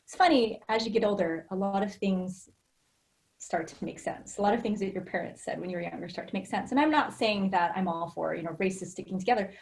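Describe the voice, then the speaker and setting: A woman's voice: mid-range voice, fairly quick, vocal fry, a little mellow. Earnest middle-aged American woman. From rural Idaho, United States. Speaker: podcast guest. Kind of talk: personal, reflective conversation.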